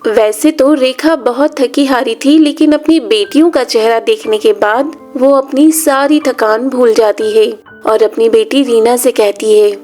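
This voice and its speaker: A woman's voice: a little high, measured pace, a little mellow. A woman narrating a scripted children's story as a storyteller. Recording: music under the voice.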